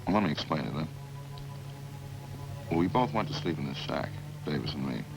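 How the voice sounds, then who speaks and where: An actor in a 1950s radio crime drama playing a chatty killer who confesses in a casual, folksy, matter-of-fact way. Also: male voice, extremely low pitch, very animated, a little fast, vocal fry, over music, exaggerated voice, poor audio. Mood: uneasy, explaining. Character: chatty, hungry male killer calmly confessing over supper. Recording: hissy old-time radio recording.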